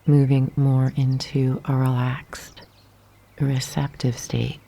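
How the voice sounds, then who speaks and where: Breathy, low, slow-speaking woman guiding meditation. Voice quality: soft, breathy, half-whispered. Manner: gentle, calm instruction with long pauses. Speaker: meditation guide. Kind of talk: guided yoga nidra meditation. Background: birdsong and nature sounds.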